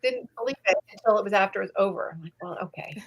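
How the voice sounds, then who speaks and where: Expressive woman in casual chat. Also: medium pitch, fast. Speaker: book club co-host. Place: live online video call.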